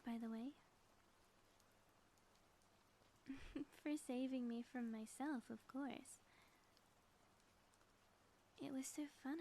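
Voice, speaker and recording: A woman playing a flirtatious, affectionate ex-villain wife in an intimate ASMR audio roleplay, teasing and breathy, often dropping toward a whisper. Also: high-pitched, dark tone. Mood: playful, grateful. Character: playful, flirtatious ex-villain wife, theatrical yet sappy and affectionate. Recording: faint background noise.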